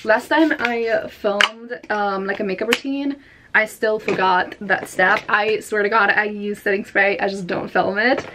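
A woman's voice: medium pitch, fairly even intonation, measured pace, background noise. A woman in her twenties chatting casually through a makeup routine in her bedroom, filling her talk with "like" as she goes. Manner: chatty, lots of "like" fillers. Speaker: vlogger. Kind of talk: casual makeup tutorial chat. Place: bedroom at home.

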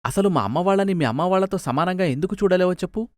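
Man acting irritable husband, pitched up. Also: expressive, fast talker, darkish tone, no echo, clean studio sound. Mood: indignant. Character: irritable husband, defensive and argumentative. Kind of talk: animated moral story dubbing.

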